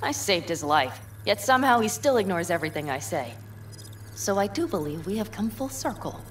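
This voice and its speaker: A woman's voice: medium pitch, very animated, fairly quick, slight background noise, natural voice. An actress playing a headstrong, defiant young nomad woman in a video game, with an emphatic, sardonic delivery. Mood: determined, argumentative. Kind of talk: dramatic video game acting.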